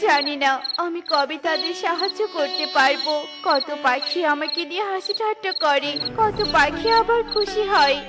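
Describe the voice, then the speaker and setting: A kind little girl bird in a children's cartoon, played by a woman, speaks in an extremely high, sweet voice. She sounds earnest and plaintive, with music behind her. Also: moderate pace, hardly any pauses, close to an everyday voice. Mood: sad, wistful. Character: kind-hearted, earnest young female bird.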